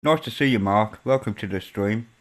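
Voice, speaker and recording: A man with a Birmingham accent chats casually on his live stream. His voice sits a little low, and he stumbles and repeats words as he talks. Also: moderate pace. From England. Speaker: stream host.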